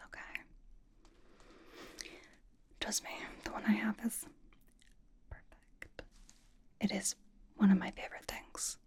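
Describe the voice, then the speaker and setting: A woman whispering as a blunt but doting young female pop idol in a gentle, casual ASMR skincare roleplay. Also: medium pitch. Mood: playful, doting. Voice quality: whispered, breathy. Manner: slow, gentle, casual, reassuring. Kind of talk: ASMR personal attention roleplay.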